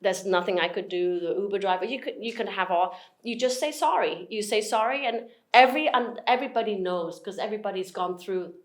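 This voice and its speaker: A woman in her forties from Hong Kong speaks in an accent that blends British and American English. She is animated and chatty, acting out her own thoughts in a middling voice. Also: medium pitch, a little fast, hardly any pauses. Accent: mixed British and American. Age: 40s. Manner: animated, acts out her own thoughts, frequent restarts and laughs. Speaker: guest. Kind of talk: casual interview conversation.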